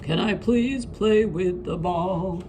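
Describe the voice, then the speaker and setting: A woman's voice: on the low side, takes it slowly, over music. A woman voicing a playful small dog puppet in a sing-song manner for a children's show. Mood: wishful singing.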